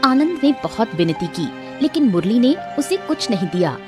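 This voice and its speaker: A woman's voice: on the high side, sing-song, moderate pace, music in the background. A woman narrating a scripted moral cartoon story.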